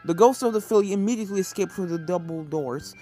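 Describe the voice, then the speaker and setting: A woman's voice: on the low side, fast, dark tone. A woman reading a story aloud as scripted narration, with music playing behind her. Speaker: fan fiction reader.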